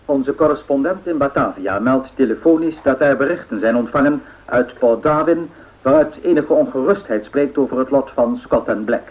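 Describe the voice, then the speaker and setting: Man acting radio drama newsreader, male, formal, measured, factual bulletin style, tinny narrow-band recording. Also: mid-range voice, close to an everyday voice. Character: male radio newsreader giving solemn 1930s air race bulletins. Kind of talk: scripted radio news bulletin.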